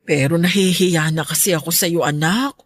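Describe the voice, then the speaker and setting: A woman playing a caring, worried middle-aged mother in a Filipino radio drama, gentle and fretful as she fusses over her child. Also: on the low side, normal speed, no room sound, lightly acted. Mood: apologetic, fretful.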